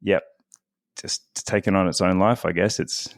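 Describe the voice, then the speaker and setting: A chatty man in his forties, guesting on a podcast. His voice sits low, and it is recorded close and dry with no room sound. He talks loosely and pokes fun at himself. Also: fairly even intonation, normal speed, dry recording. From Australia. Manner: chatty, self-deprecating, laughs often. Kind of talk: casual podcast interview.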